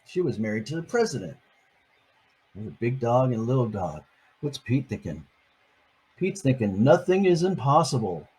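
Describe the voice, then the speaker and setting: A man's voice: mid-range voice, big pitch swings, moderate pace, long pauses, darkish tone, breathy edge, poor audio. An older man reading a picture book aloud to his grandchildren, adding chatty, playful asides between pages.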